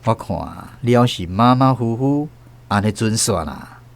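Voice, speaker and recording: A man voicing a slick male government official in a suit who talks people into dropping a complaint, smooth, persuasive and condescending. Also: medium pitch, a little slow, pitched below the performer's own voice, natural voice.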